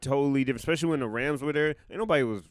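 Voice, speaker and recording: A laid-back man from the St. Louis area chats on a podcast, laughing often and swearing casually. His voice is smooth and clear, recorded dry and close. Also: mid-range voice, racing, dry recording. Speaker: podcast co-host. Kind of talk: casual anime podcast chat.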